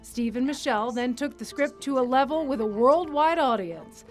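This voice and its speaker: Polished high-voiced woman news reporter. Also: animated, darkish tone, soft background music. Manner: polished, measured delivery. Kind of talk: scripted news narration.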